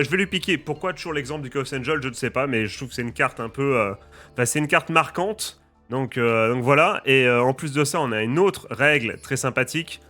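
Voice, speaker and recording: A man with a slight head cold, casually explaining a game challenge's rules to his viewers in a lively, chatty way, with faint music playing behind him. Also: medium pitch. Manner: lively, addresses viewers as friends and guys. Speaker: gaming YouTuber and streamer.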